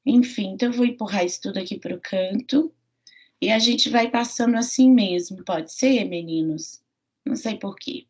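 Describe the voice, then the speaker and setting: A woman's voice: medium pitch, normal speed, darkish tone. A middle-aged woman with a Minas Gerais accent, a university professor giving an online lecture in a friendly way, full of fillers and tag questions. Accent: Minas Gerais Portuguese. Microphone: computer mic on a video call. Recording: dull lo-fi video call audio.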